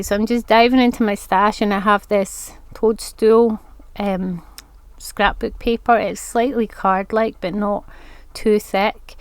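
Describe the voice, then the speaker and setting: A woman's voice: medium pitch, clear, resonant tone, no echo. A woman with a congested voice from hay fever, chatting her way through a step-by-step crafting tutorial. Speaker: craft vlogger.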